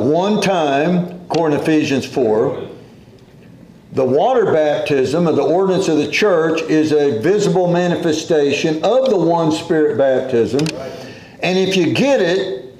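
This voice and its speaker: A man preaching a sermon in an unhurried, folksy way, quoting scripture as he goes. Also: a little high, expressive, a little mellow, echoey room, rough recording.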